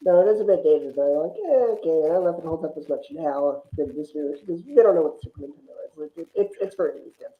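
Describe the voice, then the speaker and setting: A man's voice: on the high side, big pitch swings, fast talker, hardly any pauses, a little hiss or hum. Man in an echoey, muffled recording. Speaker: podcast panelist. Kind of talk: casual film discussion. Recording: echoey room, muffled lo-fi sound.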